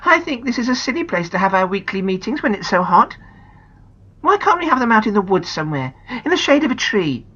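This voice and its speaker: A woman's voice: medium pitch, sing-song, a little fast, pushed and bright, breathy edge, muffled recording, pitched above the performer's own voice, full character voice. A woman doing a complaining young girl. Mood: plaintive. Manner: plaintive, complaining.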